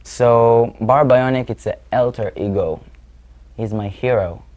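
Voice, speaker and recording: A man's voice: medium pitch, measured pace, a little mellow. A young man in his twenties from Israel with an Israeli accent, speaking earnestly as an interview guest and sometimes searching for words. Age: 20s. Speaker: guest musician. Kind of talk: interview answers.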